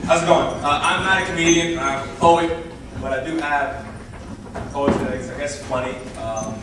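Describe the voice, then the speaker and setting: A young man from the Dominican Republic talks hesitantly, with many fillers, before he launches into a rhythmic, punchy poem. His voice sits slightly high. He is heard from a distance in a strongly echoing hall. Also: fairly even intonation, normal speed, background noise. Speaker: poet. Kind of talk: spoken word poetry. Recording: echoey hall, far from mic.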